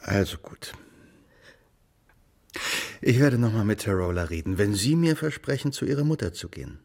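Low, gravelly male investigator. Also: lively intonation, moderate pace, darkish tone. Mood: calm, probing. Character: sober, probing male investigator Blackshire, persistent and calm. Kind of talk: German radio drama acting.

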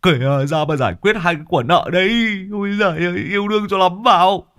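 A man's voice: high-pitched, fast, fairly bright tone, pitched above the performer's own voice. A man voicing an exasperated older male shaman who whines and mocks. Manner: whining exasperation.

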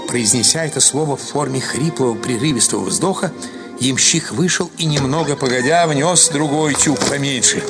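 Creaky-voiced male narrator over loud music. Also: mid-range voice, moderate pace. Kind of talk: dramatic literary narration for radio.

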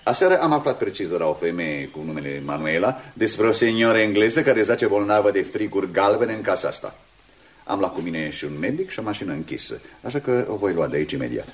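A man's voice: sits low, lively intonation. An actor playing a haughty, imperious man in a radio drama, clipped, contemptuous and commanding. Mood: imperious, impatient. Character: haughty, imperious male antagonist demanding his way. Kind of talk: Romanian radio drama acting. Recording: noisy old radio drama recording.

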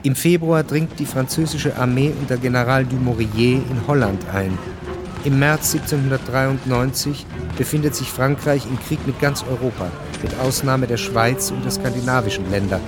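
An actor playing a detached chronicler, narrating a radio drama in a crisp, factual manner. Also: male voice, mid-range voice, moderate pace, natural voice. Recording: studio narration over clear music bed.

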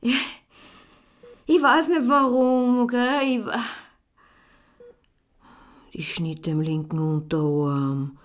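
An actress in a radio drama playing a troubled, devoted wife and mother under questioning, with an Austrian accent and a breaking, halting delivery. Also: a little high, fairly even intonation. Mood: bewildered, agitated. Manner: halting, repetitive, emotionally fragile. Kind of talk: naturalistic radio drama acting.

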